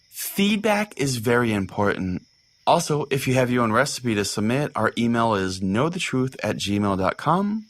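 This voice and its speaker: A man's voice: lowish voice, a bit bright, no room sound. A man playing a crude, oblivious small-town pastor who hosts a radio call-in show, folksy and theatrically earnest. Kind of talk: comedic mock-radio sermon and host banter.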